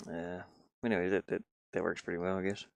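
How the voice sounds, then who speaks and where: A man giving casual, halting live commentary as he works on game art, muttering and thinking aloud. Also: low voice, little pitch movement, gravelly, no room sound. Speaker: streamer and hobby game designer.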